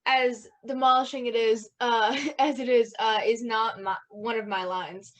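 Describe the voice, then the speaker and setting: A woman with a fairly high voice chats casually as a podcast cast member. She has a giggly way of talking. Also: steady tone, unhurried. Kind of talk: casual group chat.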